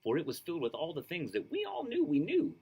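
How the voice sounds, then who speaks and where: A man's voice: on the high side, quick pace, vocal fry, husky. A man reading a children's book aloud expressively, with enthusiastic casual asides. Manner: enthusiastic, animated. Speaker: storybook reader.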